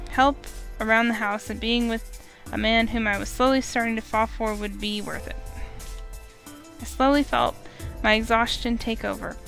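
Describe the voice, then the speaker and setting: Woman reading a visual novel aloud. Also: fairly high pitch. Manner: expressive, first-person storytelling. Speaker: streamer.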